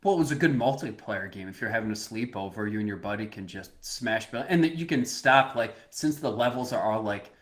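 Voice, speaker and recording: A man's voice: lowish voice, lively intonation, a little fast, hardly any pauses. A man co-hosting a podcast in casual chat, enthusiastic and rambling, laughing often. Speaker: podcast co-host.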